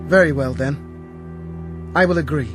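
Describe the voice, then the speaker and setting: An actor playing a grieving, melancholy young prince in a gothic radio drama, speaking in an earnest, formal way. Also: male voice, fairly high pitch, moderate pace, over music, lightly acted. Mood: mournful.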